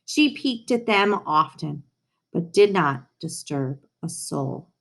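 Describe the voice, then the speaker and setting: Lady reading a picture book to kids, really expressive, adds little comments as she goes. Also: low-pitched, vocal fry. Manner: animated, emphatic, pausing to comment and ask questions. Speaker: storybook reader. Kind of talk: expressive children's read-aloud with asides to listeners.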